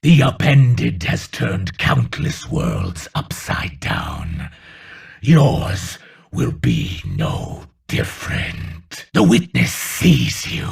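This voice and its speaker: A monstrous video game villain with a low, husky, gravel-filled voice. He drags out his words with theatrical menace and gloats condescendingly, with sadistic playfulness. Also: exaggerated voice. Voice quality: gravelly, raspy. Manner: slow, theatrical, mockingly condescending.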